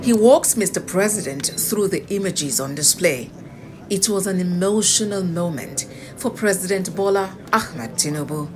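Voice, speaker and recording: A woman's voice: on the low side, normal speed, over music. A woman with a Nigerian accent reading a scripted television news report as a voice-over.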